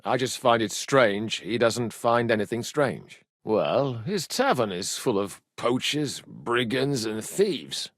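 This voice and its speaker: A man voicing a gruff, sardonic, hard-drinking medieval mercenary in a video game, with a blunt, earthy, foul-mouthed way of talking. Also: mid-range voice, sing-song, takes it slowly, few pauses, no room sound, studio quality. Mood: casual, grumbling. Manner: earthy, blunt, swearing, wry. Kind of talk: video game character dialogue.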